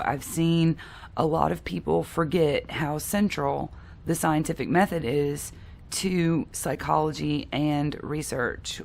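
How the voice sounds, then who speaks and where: Low, gravelly woman lecturing with a cold. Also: slight background noise. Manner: explanatory, with filler ums and restarts. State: stuffy from a cold. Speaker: psychology professor. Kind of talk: recorded lecture.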